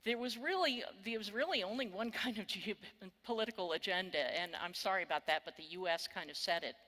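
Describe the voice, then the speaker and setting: A woman's voice: mid-range voice, expressive, a little fast, bright, forceful tone. An older woman with a Texan accent giving a conference keynote, wry and candid, with casual swearing. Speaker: keynote speaker. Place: conference hall on stage. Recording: long reverb of a large hall.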